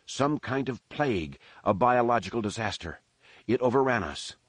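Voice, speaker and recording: A man's voice: mid-range voice, animated, normal speed, dry recording, voice pushed up, close to an everyday voice. A man voicing a frightened male survivor, out of breath and pleading frantically. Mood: frantic.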